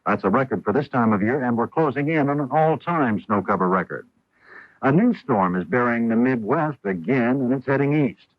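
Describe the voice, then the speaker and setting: An American man anchors a network newscast from a script. His voice sits at a middle pitch and is recorded dry, through a dull 1980s radio broadcast. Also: expressive, moderate pace, dry recording. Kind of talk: scripted network news reading.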